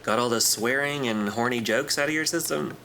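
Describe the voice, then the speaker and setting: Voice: medium pitch, fairly quick, sharp tone, slight background noise. An actor playing a glib, friendly corporate clerk in a sci-fi audio drama, breezy, wry and casual in a naturalistic style. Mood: encouraging, confiding. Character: glib, teasing, friendly corporate clerk smoothing things over.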